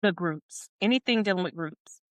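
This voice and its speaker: A woman's voice: fairly low pitch, normal speed, dry recording, studio quality. A middle-aged woman, a leadership coach, speaking earnestly and emphatically as a guest on a podcast, often circling back and restating her points. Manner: earnest, emphatic, with repeated phrases. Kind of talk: podcast interview.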